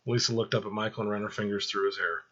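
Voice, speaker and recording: A man narrating fiction aloud in an expressive storytelling style. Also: on the low side, fast talker, lo-fi sound.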